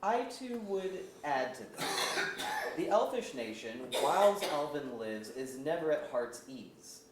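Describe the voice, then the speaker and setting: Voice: mid-range voice, sing-song, slow. A performer playing a stern, vengeful elf leader, declaiming formal archaic verse at a staged reading. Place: museum auditorium. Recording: echoey auditorium, far off, faint noise.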